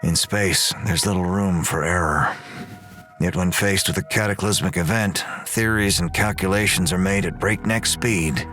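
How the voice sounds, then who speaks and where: An actor playing a grim, weary, commanding space ranger in a sci-fi audio drama, with stern, measured delivery. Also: male voice, sits low, a bit bright, soft background music. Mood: somber narrating.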